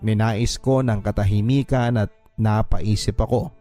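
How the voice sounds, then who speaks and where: A Filipino man with a soft, mellow voice narrates a heartfelt story for radio. His delivery is measured and sentimental, set over a music bed. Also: fairly low pitch, steady tone. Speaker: radio host reading a listener's letter. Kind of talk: dramatic scripted narration of a first-person love story. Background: background music.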